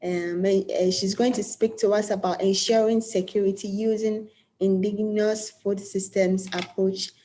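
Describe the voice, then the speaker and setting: A woman's voice: mid-range voice, flat delivery, measured pace, warm tone. A woman moderating an online panel, formally reading out a speaker's introduction. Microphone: video call.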